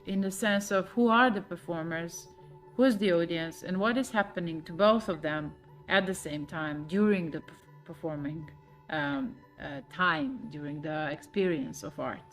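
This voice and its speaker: Woman, lowish voice, measured interview answers, soft music behind. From Serbia. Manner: thoughtful, many pauses and fillers. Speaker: choreographer.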